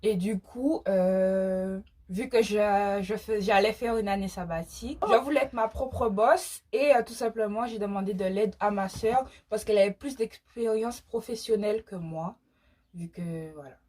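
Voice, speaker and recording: Young woman, from Martinique, medium-pitched voice, casual vlog co-host, small business founder answering viewers. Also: unhurried, a little mellow. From French Caribbean (Martinique). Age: young adult. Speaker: small cosmetics brand founder and video co-host.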